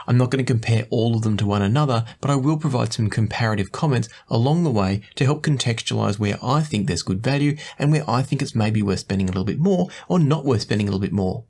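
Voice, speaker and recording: A man in his forties hosting a product review, talking to camera in a measured, explanatory way. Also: mid-range voice, darkish tone. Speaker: audio gear reviewer and channel host. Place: small indoor home studio room.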